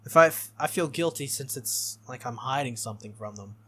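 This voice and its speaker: A man's voice: mid-range voice, brisk, a bit bright, a little hiss or hum. A man narrating a visual novel aloud as a gaming video host, in a read-through style.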